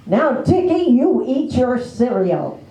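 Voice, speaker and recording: Woman acting a slow-talking, high-voiced bossy farm wife. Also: big pitch swings, dark tone, exaggerated voice. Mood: bossy scolding. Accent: American. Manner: folksy, scolding, no-nonsense. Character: plain-spoken, bossy small-town farm wife and mother. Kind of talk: readers theater stage acting. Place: live play in a library. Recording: echoey room, distant microphone.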